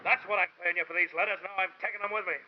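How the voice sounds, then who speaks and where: A man's voice: sits high, fairly even intonation, racing, close to an everyday voice. An actor playing a furious, contemptuous killer in a classic crime radio play, snarling scornfully at his blackmailer. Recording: muffled, very noisy vintage radio recording.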